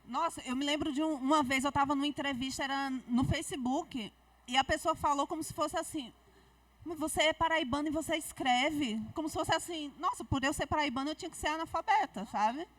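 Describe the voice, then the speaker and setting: Woman author from Paraíba, Paraíba accent, high bright pitch, frank and animated, picked up dry from a distance. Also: brisk, dry recording. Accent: Paraíba Brazilian Portuguese. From Paraíba, Brazil. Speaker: author on a panel. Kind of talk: panel discussion at a book fair. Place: book fair stage.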